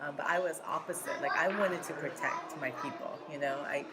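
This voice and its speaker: Deep-voiced frank woman, noisy recording. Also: brisk. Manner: frank, uses "like" often. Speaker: interviewee. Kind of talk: casual personal account. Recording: loud background noise.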